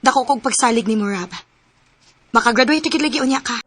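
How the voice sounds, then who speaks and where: An actress with a Cebuano accent narrating and playing a kind young woman in a radio drama, soft-spoken. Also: a little high, fairly quick, natural voice. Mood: tender. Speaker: narrator and heroine.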